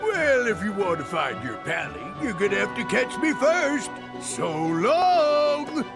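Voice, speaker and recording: A man voicing a big, blustering villainous cat in a video game, with gravelly tone and folksy, slangy taunts. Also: high voice, very animated, slow, over music, heavily acted. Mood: taunting. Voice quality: gravelly, creaky.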